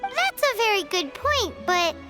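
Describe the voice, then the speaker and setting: A tiny, squeaky fairy sidekick from a video game, extremely high and childlike. She is perky and cheeky, swooping around in pitch at an unhurried pace. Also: big pitch swings, over music, exaggerated voice. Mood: suspicious. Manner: refers to herself in third person, cheeky. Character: small childlike floating fairy companion, perky and blunt. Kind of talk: video game cutscene acting.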